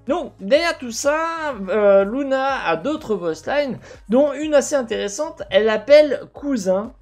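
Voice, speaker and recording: A young man giving casual, speculative commentary as a gaming video host, halting at times and asking himself questions. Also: very high-pitched, lively intonation, faint music behind. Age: young adult. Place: indoor gaming setup.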